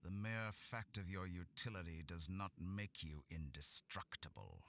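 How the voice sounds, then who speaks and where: An actor playing a sinister, condescending male scientist in a game cutscene, with slow, menacing politeness. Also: low-pitched, animated, pushed and bright, heavily acted. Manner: smooth, drawn-out, menacing politeness. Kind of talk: video game cutscene voice acting.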